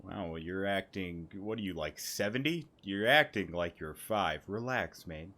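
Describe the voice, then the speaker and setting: A man's voice: low-pitched, animated, measured pace, bright, forceful tone. A man giving casual, rambling gameplay commentary as a streamer, repeating words and swearing casually. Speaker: gaming streamer. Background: game music.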